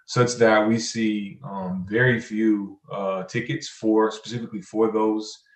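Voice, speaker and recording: A man speaking as an expert panelist, explaining advocacy work in halting, measured phrases. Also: on the low side, flat delivery. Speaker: criminal justice program director, panelist. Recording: roomy, echoey sound.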